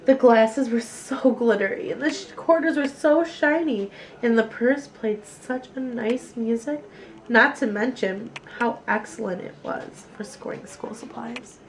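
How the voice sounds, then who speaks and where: A woman storyteller reading a children's picture book aloud with expressive, deliberate phrasing. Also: fairly high pitch, poor audio.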